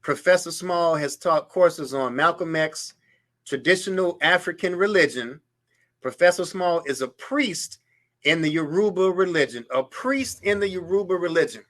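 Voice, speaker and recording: A man's voice: a little high, normal speed, a bit bright. A man hosting a history show, reading a biography aloud and breaking off into incredulous, mocking commentary on clips. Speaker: show host.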